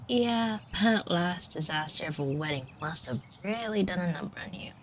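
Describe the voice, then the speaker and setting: A woman's voice: on the low side, lively intonation, moderate pace, natural voice. A woman playing a shy, flustered florist who talks to the listener in a hesitant, colloquial, self-deprecating way in an audio roleplay. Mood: casual, bashful. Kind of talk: scripted roleplay acting addressed to the listener. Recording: dull muffled audio with clear noise. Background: shop ambience sound effects.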